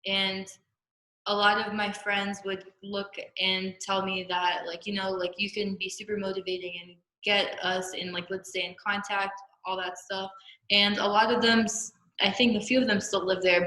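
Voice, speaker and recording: Bright-voiced young woman, echoey podcast guest. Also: medium pitch, level tone, normal speed. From Jordan. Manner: upbeat, informal, frequent "like". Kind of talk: casual interview chat. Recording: roomy, echoey podcast room.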